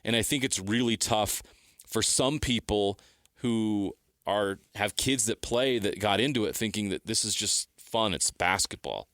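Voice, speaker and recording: Man, middle-aged, slightly deep, sports radio opinion, emphatic repeated phrasing, dry close sound. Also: a little slow, fairly bright tone, dry recording. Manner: emphatic, building points through repeated phrasing.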